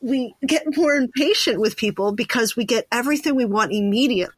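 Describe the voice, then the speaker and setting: A woman's voice: fairly high pitch, fairly quick, husky, no room sound. A woman hosting a podcast in a casual, reflective conversation, speaking informally and thinking aloud from personal experience. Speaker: podcast host.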